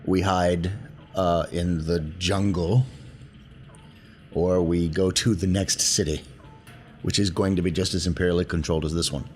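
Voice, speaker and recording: Male tabletop player, deep voice, casual and reasoning, faint background noise. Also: fairly even intonation, measured pace, pauses often. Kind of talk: casual in-game discussion.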